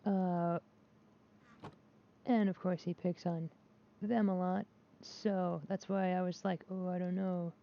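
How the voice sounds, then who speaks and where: Gravelly-voiced woman rambling on a dull mic. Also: on the low side, little pitch movement, takes it slowly, pauses often, mellow. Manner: rambling, hesitant, with many restarts and filler words; candid and self-deprecating. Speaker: gaming vlogger. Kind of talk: casual chat while playing a game. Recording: dull lo-fi mic with faint noise.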